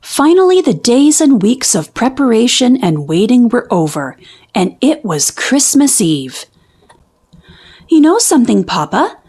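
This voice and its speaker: High, animated, unhurried woman storyteller. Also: husky. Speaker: children's book read-aloud narrator. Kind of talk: expressive storybook narration for young children.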